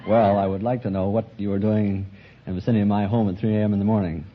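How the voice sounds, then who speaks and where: A man's voice: lowish voice, little pitch movement, quick pace. An American man in his twenties, a contestant giving matter-of-fact answers to a quiz host. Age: 20s. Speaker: contestant, police officer. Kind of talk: answering a quiz host's questions. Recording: dull, hissy 1940s radio recording.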